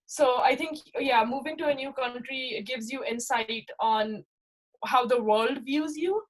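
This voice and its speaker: A young woman from Pakistan speaks with a gentle Pakistani accent and a voice that sits a bit high. She talks in a casual, reflective way, full of fillers and searching pauses, often checking in with a quick "right?". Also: poor audio. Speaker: interviewee. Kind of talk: casual personal account.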